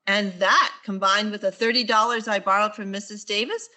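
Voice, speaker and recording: An actress playing a wry, quick-witted high school English teacher in 1940s radio sitcom style, with dry sarcastic asides. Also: female voice, fairly even intonation, pushed and bright. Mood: sweetly sarcastic.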